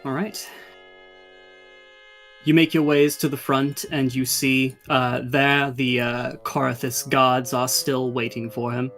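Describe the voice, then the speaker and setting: A game master improvising narration and chatting casually in hesitant, halting phrases. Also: mid-range voice, flat delivery, fairly bright tone, faint music behind.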